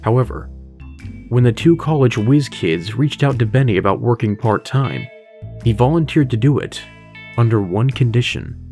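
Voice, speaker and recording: A man's voice: mid-range voice, darkish tone. A man reading scripted story narration as the narrator of an audio horror story. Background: music bed with guitar.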